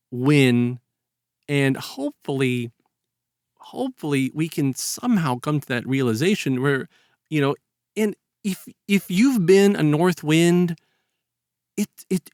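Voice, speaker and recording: A man's voice: fairly high pitch, animated, unhurried, a little mellow, dry recording, studio quality. A man speaking as a podcast host in an earnest, emphatic way, talking through ideas conversationally. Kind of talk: conversational discussion of a story's moral.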